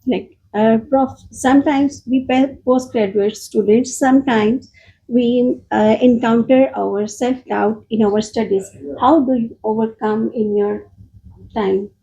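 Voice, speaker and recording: A woman's voice: fairly high pitch, steady tone, slow, dark tone, highs cut off. A woman, a PhD student, speaking hesitantly with fillers. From Pakistan.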